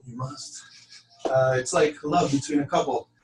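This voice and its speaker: A man teaching an informal spiritual class to a small group, talking in a halting, conversational way. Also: medium pitch, fairly even intonation. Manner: halting, conversational, addresses listeners as friends. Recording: distant room mic with background noise.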